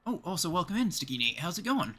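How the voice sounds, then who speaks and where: Bright-voiced Canadian man streaming a game. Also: high-pitched, sing-song, fast, slightly rough. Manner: thinking aloud, chatting to viewers. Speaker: game livestream host. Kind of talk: casual livestream chat while playing.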